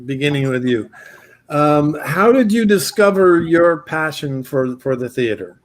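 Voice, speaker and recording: A man hosting a casual interview over a video call, chatty and full of pauses and restarts. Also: a little high, animated. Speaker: talk show host.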